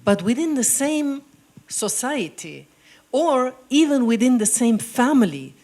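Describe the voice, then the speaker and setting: A woman speaking on an academic panel, explaining her points in a measured way. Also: a little high, a little mellow.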